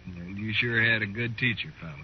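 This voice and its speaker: A man's voice: fairly low pitch, fairly quick, close to an everyday voice. Man playing a shifty, folksy frontier smuggler, old radio. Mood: ingratiating, nervous. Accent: American. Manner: folksy, drawling frontier talk. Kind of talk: 1950s Western radio drama acting. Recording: noisy, dull old radio recording.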